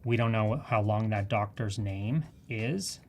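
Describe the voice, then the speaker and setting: A woman with a Canadian accent giving a live software demonstration in a casual, chatty and enthusiastic way. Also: extremely low pitch, a little slow, a little hiss or hum. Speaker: presenter of a live software tutorial.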